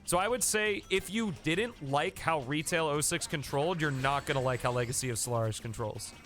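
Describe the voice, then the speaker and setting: A man's voice: fairly high pitch, brisk, fairly bright tone, soft background music. Sniffly man casually streaming a game. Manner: casual, chatty, thanks viewers by name. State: sniffly with a coming cold. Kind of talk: live game commentary.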